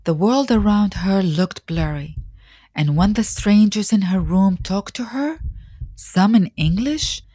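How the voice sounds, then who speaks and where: A woman narrator reading a scripted children's biography in a deliberate, expressive storytelling manner. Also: medium pitch, no room sound. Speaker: audiobook narrator.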